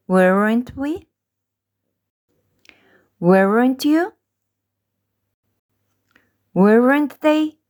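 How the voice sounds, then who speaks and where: A woman teaching English through slow, deliberate pronunciation drills, pausing between short phrases. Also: medium pitch, a little mellow. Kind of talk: slow drilled language lesson.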